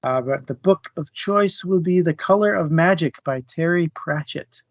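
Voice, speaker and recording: A man's voice: on the high side, normal speed, no echo. A man co-hosting a book club show, chatting casually about reading. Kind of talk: casual book discussion chat. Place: live online stream. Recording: dull, lo-fi, dry audio.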